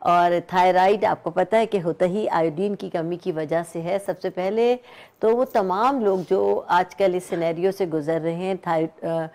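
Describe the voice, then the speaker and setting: A woman's voice: fairly low pitch, measured pace, a little mellow. A woman appearing as a studio guest, giving health advice in a hesitant, explanatory way with many fillers.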